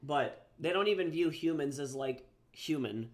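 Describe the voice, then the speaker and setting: A man's voice: fairly high pitch, animated, moderate pace. A man who is a little sick, chatting casually and rambling with swearing and fillers while drawing on a livestream. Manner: rambling, profane, many fillers and restarts. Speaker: livestreaming artist and author.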